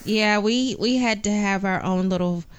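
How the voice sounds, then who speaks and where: A woman in her forties co-hosting a family podcast, chatting in an unhurried and playful way. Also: mid-range voice, clear, resonant tone. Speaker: podcast co-host. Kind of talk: casual family podcast chat.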